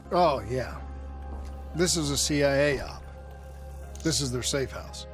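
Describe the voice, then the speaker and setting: A man's voice: medium pitch, unhurried, faint music behind, close to an everyday voice. An actor playing an alert, earnest male cyber soldier in a video game cutscene. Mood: casual, reflective.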